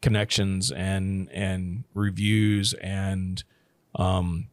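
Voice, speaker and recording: Laid-back low-voiced man, casual podcast chat, loose rambling delivery, dry close sound. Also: level tone, very slow, no echo. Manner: rambling, many restarts and "you know". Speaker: production company owner. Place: living abroad, working from Thailand.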